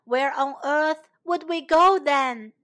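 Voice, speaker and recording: A woman's voice: high voice, moderate pace, pushed and bright. A woman voicing frightened little wild animals in a children's story, pleading and exclaiming for help. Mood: panicked pleading.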